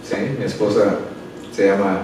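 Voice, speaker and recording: A man's voice: low-pitched, flat delivery, moderate pace, noisy background. A middle-aged man from El Salvador, a pastor talking earnestly and unhurriedly, often adding tag questions. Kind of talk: interview answers and prayer. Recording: echoey room, a bit far from the mic.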